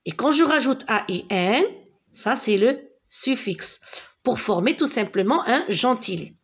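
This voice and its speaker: A woman with a Moroccan accent teaching a primary school French lesson, repeating words and spelling out letters one by one. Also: medium pitch.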